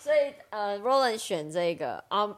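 Playful woman co-hosting, mid-pitched voice. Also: very animated, a little slow, a loud crowd. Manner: switches between Mandarin and English. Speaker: co-host translating and retelling the story. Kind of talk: casual playful bilingual chat.

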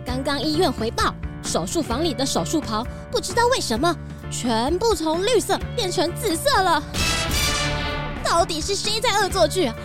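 A cutesy cat paramedic in a children's radio play. The voice is high and lively, earnest and a little puzzled, over prominent music. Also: female voice, normal speed. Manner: cutesy, tags sentences with a meow. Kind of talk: children's audio drama acting.

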